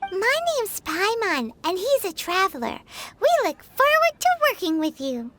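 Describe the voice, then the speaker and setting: A woman voicing a tiny, curious and excitable girl companion in a video game, with a high, childlike voice. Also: a little slow, very noisy, heavily acted. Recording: game audio with music behind.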